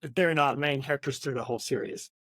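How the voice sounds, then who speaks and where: A man's voice: medium pitch, fast talker, a bit bright, no echo, clean studio sound. A man co-hosting a podcast in a casual book discussion, hesitating and repeating words as he goes. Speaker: podcast co-host.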